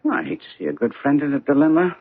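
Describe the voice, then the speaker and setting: Gentleman sleuth, precise and theatrical, swooping intonation, radio mystery, narrow muffled sound. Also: a little high, big pitch swings, racing. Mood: thoughtful, dry. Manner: precise, formal, theatrical phrasing. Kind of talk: radio mystery drama acting.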